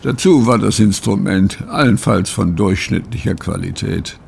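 A low-voiced, troubled gentleman in an audio drama. His delivery is creaky and solemn, sometimes halting, as he recounts events. There is faint noise behind him. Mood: earnest recounting. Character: troubled, bewildered French gentleman telling of his friend's murder. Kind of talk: German audio drama acting.

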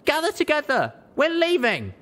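A man voicing a plucky young female pony leader in an audiobook, calling out in a high, urgent voice. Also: huge pitch swings, moderate pace, full character voice. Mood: urgent shouting. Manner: high-pitched, urgent shouting. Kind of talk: dramatized audiobook character voice.

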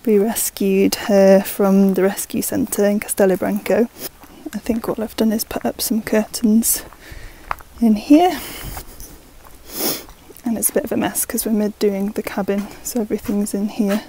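A young woman giving a relaxed, chatty walkthrough of her land and barn, with plenty of fillers and soft laughs, recorded on an earphone mic outdoors. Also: medium pitch, unhurried, a little hiss or hum, rough recording. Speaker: vlogger. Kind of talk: casual walkthrough narration.

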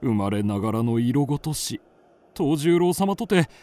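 An actor playing an excitable, gossipy old-time kabuki player in a radio drama, with theatrical archaic speech. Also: male voice, medium pitch, normal speed, close to an everyday voice. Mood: gossiping. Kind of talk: period Japanese radio drama acting.

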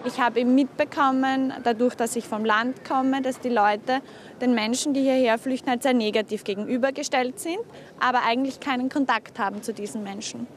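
A woman's voice: fairly high pitch, steady tone, brisk, slight background noise. A young woman from Styria, Austria, chatting outdoors as a volunteer tour guide, friendly, with frequent fillers. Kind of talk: casual conversation and interview. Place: outdoors on a city square.

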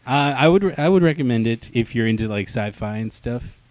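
A man's voice: mid-range voice, expressive, a little fast, a little mellow, no room sound. A young man hosting a podcast, chatting casually about comics into a handheld microphone. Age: young adult. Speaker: podcast host. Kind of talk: casual comic book review chat. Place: small room, indoors.

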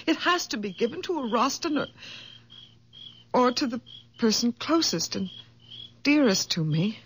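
An actress playing a distraught, pleading young woman in a radio mystery, speaking urgently and haltingly. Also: fairly high pitch, big pitch swings, a bit bright, slightly rough, full character voice. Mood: miserable, insistent. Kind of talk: 1970s radio mystery acting. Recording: noisy old radio drama recording.

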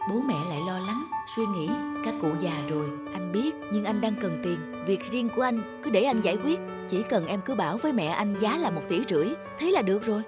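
A woman's voice: medium pitch, lively intonation, fairly quick, few pauses, loud music behind the voice, lightly acted. A woman voicing a scheming, persuasive young adult son in a cartoon. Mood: low, conspiratorial. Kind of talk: cartoon dubbing.

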